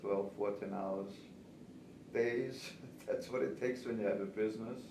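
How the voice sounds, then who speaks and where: A man's voice: on the low side, steady tone, a little slow, creaky. A man in his seventies with an Austrian accent, a native German speaker, talking conversationally as a veteran pastry instructor answering interview questions. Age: 70s. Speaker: pastry chef and cake instructor. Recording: distant, roomy, noisy recording.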